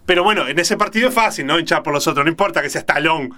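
A man's voice: on the high side, quick pace, sharp tone. A man with a Uruguayan accent hosting a radio show in a casual, hesitant, digressive way, often correcting himself. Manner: hesitant, rambling, self-correcting. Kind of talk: casual, digressive co-host banter.

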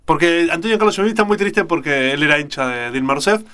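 A man's voice: a little high, steady tone, fast, bright, forceful tone, smooth. A man with a Uruguayan accent hosting a radio show in a casual, hesitant, digressive way, often correcting himself. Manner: hesitant, rambling, self-correcting. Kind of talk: casual, digressive co-host banter.

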